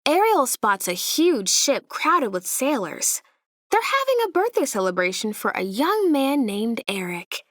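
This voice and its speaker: A woman reads a children's story aloud with a fairly high voice and lively, swooping intonation. She takes her time, placing dramatic emphasis as a storyteller would for young listeners. The sound is close and dry. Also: a little slow, dry recording. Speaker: storybook narrator.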